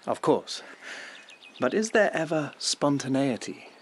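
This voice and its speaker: A man's voice: medium pitch, very animated, measured pace, a little hiss or hum. A man acting a young, provocative palace lord in a radio drama, musing and insinuating in formal phrases. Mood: teasing, provocative. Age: young adult. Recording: faint outdoor ambience.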